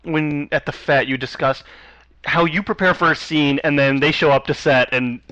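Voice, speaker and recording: A man co-hosting a radio interview, asking questions and throwing in quick jokes. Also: on the high side, steady tone, pushed and bright, no echo. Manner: joking, quick asides. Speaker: radio co-host. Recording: dull, dry radio sound.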